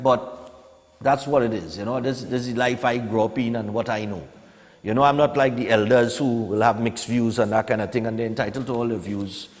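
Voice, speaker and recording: A man in his forties with a Trinidadian accent, hosting a live morning show in a conversational, opinionated way. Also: mid-range voice. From Trinidad and Tobago. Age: 40s. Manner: conversational, opinionated, peppered with "eh" and "you know". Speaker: morning television show host. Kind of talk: casual live talk and newspaper review. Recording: long hall-like reverb on the voice.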